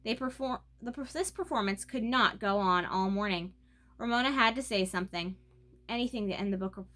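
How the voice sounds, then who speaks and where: A woman's voice: fairly high pitch, sharp tone. A woman reading a children's book aloud as narrator. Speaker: storybook reader.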